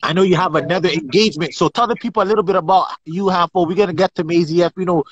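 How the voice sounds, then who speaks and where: A man's voice: sits high, steady tone, very fast, slightly rough, no room sound. Young man hosting a livestream. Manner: hype shout-outs, filler phrases. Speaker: livestream host. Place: indoors at home. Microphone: phone at arm's length. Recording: dull lo-fi livestream audio.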